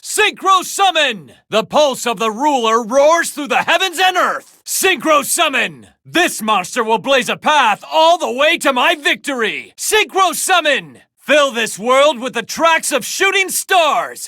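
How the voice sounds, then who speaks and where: A man's voice: very high-pitched, bright, forceful tone, no echo, studio quality, heavily acted. A man voicing a resolute young duelist and gang leader in an anime game dub, earnest and emphatic in his delivery. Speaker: video game character voice actor.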